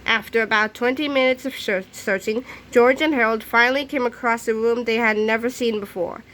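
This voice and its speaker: A woman reading a children's book aloud as an animated storyteller. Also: fairly high pitch, fairly quick.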